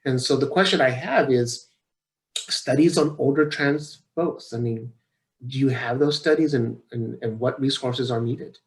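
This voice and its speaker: A man's voice: mid-range voice, measured pace. Reflective American man with a mid-range voice. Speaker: webinar questioner sharing experiences. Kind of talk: conversational remarks and questions.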